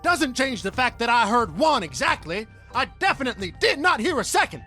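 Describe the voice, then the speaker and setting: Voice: medium pitch, sing-song, fairly quick, bright, forceful tone, faint music behind. A performer playing a brash, stubborn young character in an audio drama, blunt and slangy. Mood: indignant. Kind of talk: anime-style audio drama.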